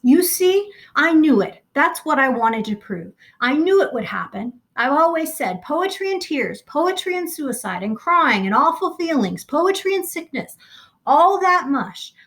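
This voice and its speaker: A woman doing shrill indignant Mrs Bowles. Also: expressive, a little slow, fairly bright tone. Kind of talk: audiobook character voice.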